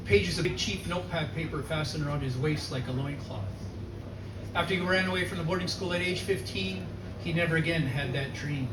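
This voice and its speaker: A man's voice: a little high, far from the mic. A man from South Dakota performing spoken word poetry with a rhythmic, dramatic delivery and a sardonic humor. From South Dakota, USA. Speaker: featured poet. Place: poetry reading venue, indoors. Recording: echoey room recorded from afar, noisy.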